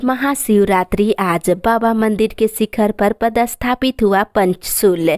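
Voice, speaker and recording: A woman's voice: mid-range voice, darkish tone. A woman reading regional news headlines and reports as a scripted bulletin. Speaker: news reader.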